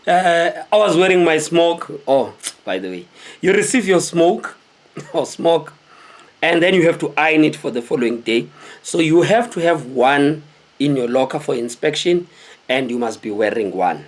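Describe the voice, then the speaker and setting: A South African man in his thirties tells stories casually to the camera in an animated, conversational way, often putting questions to his viewers. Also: a little high, moderate pace. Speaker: host telling stories of his military service. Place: small indoor room with cloth backdrop.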